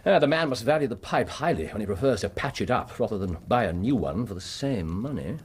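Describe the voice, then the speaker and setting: An actor playing a keen, dry-witted Victorian consulting detective in a radio drama, with an English accent and precise, urbane, musing speech. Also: male voice, fairly low pitch, animated, a little fast, breathy edge. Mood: musing, engaged. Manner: precise, urbane, musing with little hums and sighs.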